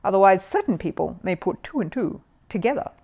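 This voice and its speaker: A woman's voice: mid-range voice, big pitch swings, a little fast, muffled recording. A woman reader voicing a firm, knowing housekeeper in a children's storybook, with a pointed, grave manner. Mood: loud, pointed.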